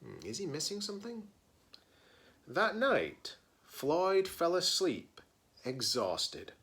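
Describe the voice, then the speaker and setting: Male storybook reader, librarian style: highly varied pitch, slow delivery, dramatic pauses, playful chatty asides for children. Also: wildly swooping pitch, fairly bright tone. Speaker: teacher-librarian reading a picture book aloud. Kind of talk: animated children's read-aloud with chatty asides.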